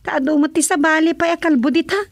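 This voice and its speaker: A woman's voice: high voice, fairly even intonation, fast talker, no echo. A woman acting a giggly, teasing young village daughter with an Ilocano accent in a radio comedy drama. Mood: amused.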